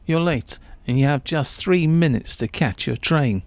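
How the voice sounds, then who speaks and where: A man's voice: a little high, expressive, measured pace, creaky, no echo. A man narrating a suspenseful short story in the second person, with theatrical delivery.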